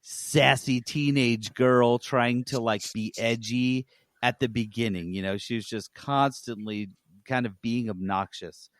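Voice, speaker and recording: A man's voice: medium pitch, steady tone, a little slow, dry recording, studio quality. A man co-hosting a gaming podcast, giving his opinions in casual, conversational talk. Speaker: podcast co-host and game reviewer.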